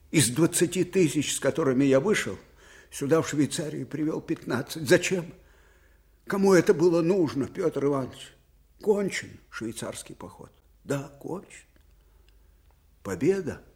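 Slow-spoken, weary old field marshal. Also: male voice, medium pitch. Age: older. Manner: halting, emotive, swelling from hushed to fervent. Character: weary, grieving old Russian field marshal, impassioned yet tender. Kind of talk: dramatic radio play acting.

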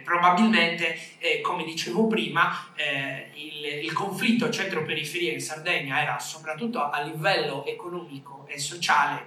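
A man giving an academic conference talk in a halting way, often repeating words. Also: fairly high pitch, pushed and bright. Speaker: conference speaker. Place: echoey conference room. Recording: very echoey room, distant microphone.

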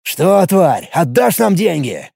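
A man's voice: sits high, very animated, slow pace, gravelly, mellow, husky, dry recording, studio quality, heavily acted. Sneering thug boss , voiced by a male narrator. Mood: menacing. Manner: sneering, threatening. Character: crude, brutal male thug leader. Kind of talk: audiobook character voice.

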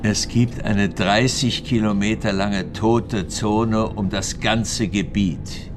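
A powerful Soviet party official in a radio crime drama. He speaks slowly in a fairly low voice, guarded and dismissive, giving little away. Faint music sits behind him. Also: steady tone. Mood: evasive, doubtful.

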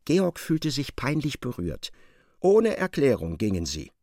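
A man giving expressive, articulate literary narration for a radio play, close on a studio microphone. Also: mid-range voice, a little slow, no room sound.

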